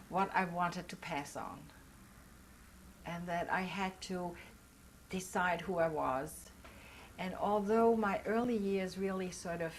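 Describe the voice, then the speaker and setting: An older woman, German by mother tongue, speaks in a slightly low voice during an oral history interview. She is reflective and earnest and pauses to search for the right words. A faint hiss sits under the recording. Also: poor audio.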